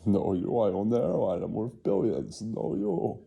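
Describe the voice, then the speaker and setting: A man's voice: on the low side, big pitch swings, normal speed, creaky, warm tone, slightly rough, heavily acted. A man voicing a boastful, petty rich airline owner who taunts and bickers in repetitive one-upmanship, in an improvised comic puppet sketch. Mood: boastful, combative.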